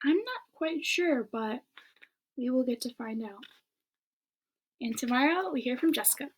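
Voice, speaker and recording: A woman reading a children's book aloud in an expressive way, with casual chat to her listeners. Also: sits high, a little slow, a little hiss or hum.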